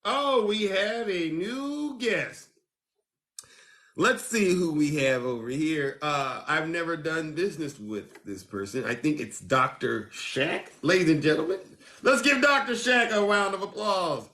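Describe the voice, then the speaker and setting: A man hosting a live call-in show, theatrical, with a booming announcer style. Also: animated, a little slow, sharp tone. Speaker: show host.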